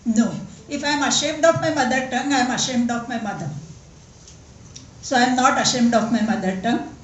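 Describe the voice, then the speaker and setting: An older woman in her seventies with a Marathi-accented English voice that sits a little high. She speaks earnestly and emphatically, repeating words for stress. Her voice rings through a large echoey hall from some distance off the mic. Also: normal speed, a little hiss or hum. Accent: Indian English, Marathi. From Western Maharashtra, India. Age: 70s. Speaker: guest speaker, senior doctor. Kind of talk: conference speech.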